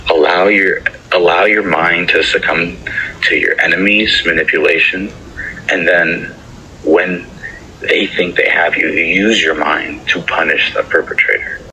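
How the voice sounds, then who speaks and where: A man's voice: low-pitched, fairly even intonation, unhurried, bright, forceful tone. A man talking casually on a lo-fi online voice call. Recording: dull lo-fi voice call, faint noise.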